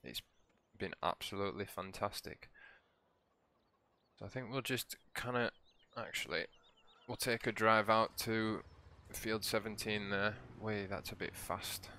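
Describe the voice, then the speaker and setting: Croaky man with a low, bright voice. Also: measured pace, a little hiss or hum. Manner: relaxed, rambling, chatty. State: croaky, hoarse. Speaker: let's play host. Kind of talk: casual gameplay commentary.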